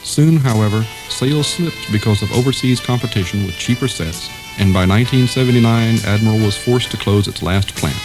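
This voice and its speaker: A man's voice: on the low side, brisk, hardly any pauses, rough recording. A man reading scripted documentary narration in the role of narrator. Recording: music behind the voice. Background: instrumental music.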